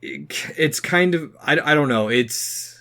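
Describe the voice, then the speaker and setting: A man co-hosting a podcast in casual conversation, hesitant as he thinks his points through out loud. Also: on the high side, unhurried. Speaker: podcast host. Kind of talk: casual pop-culture chat.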